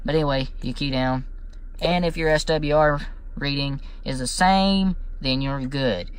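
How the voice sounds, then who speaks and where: Slow-talking Southern man, folksy. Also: fairly high pitch. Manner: folksy, rambling. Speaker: how-to video host. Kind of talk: informal hands-on tutorial talk. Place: inside a vehicle. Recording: clear background noise inside a vehicle.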